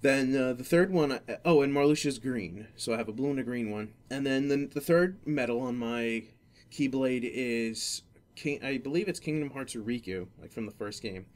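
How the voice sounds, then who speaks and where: A man with an average-pitched voice hosts a laid-back gaming podcast. He talks off the cuff in a rambling, hesitant way. He often doubles back to correct himself as he explains. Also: moderate pace, a little mellow. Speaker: podcast host. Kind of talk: casual gaming podcast talk.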